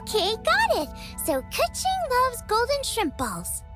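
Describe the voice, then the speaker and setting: Game role: chirpy, enthusiastic little cook girl, squeakily high and lively, over background music. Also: a little slow, exaggerated voice. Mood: confident, upbeat. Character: bubbly, eager young girl cook, proud of food. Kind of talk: video game cutscene voice acting.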